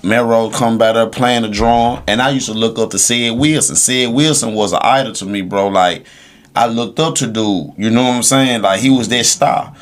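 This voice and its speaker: A man's voice: mid-range voice, normal speed. Slangy man telling stories emphatically. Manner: emphatic storytelling, slangy, says "bro" and "man". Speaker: guest co-host. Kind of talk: casual podcast chat, reminiscing.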